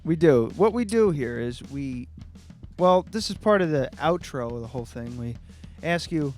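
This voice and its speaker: Lively high-voiced swearing co-host. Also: male voice, normal speed, soft background music. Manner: blunt, swears, wry. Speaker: podcast co-host. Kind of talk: casual chat.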